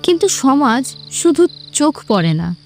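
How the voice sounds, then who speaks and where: A woman's voice: sits high, very animated, a little slow, soft background music. A woman narrator reading a scripted romantic story in a dramatic storytelling style.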